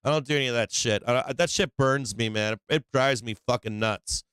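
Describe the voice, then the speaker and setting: A man's voice: mid-range voice, a little fast, fairly bright tone, clean tone, dry recording. A man hosting a live stock trading stream, chatting casually and bluntly in slangy, profanity-laced talk. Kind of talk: casual live stream chat and market commentary.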